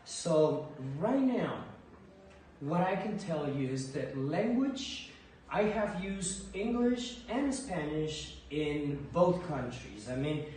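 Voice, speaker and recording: Man in his 30s, from Ecuador, teacher, hesitant with repeated words, slightly high voice, distant mic. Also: expressive, slow pace, a little mellow. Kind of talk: casual interview answers. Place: school library with bookshelves, indoors. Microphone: far camera across a desk. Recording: echoey room from a distance, faint noise.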